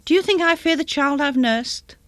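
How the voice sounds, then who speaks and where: A desperate old mother from a storybook, acted out by a woman reader. Her voice rises high as she begs and insists, frantic and hysterical with grief. The sound is dry. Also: moderate pace, a bit bright, dry recording. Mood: desperate insistence.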